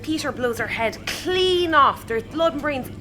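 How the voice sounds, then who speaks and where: A woman's voice: high voice, lively intonation, measured pace, loud music behind the voice. A woman playing a brash, mischievous sister who relishes gory stories in a sci-fi audio comedy. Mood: gleeful.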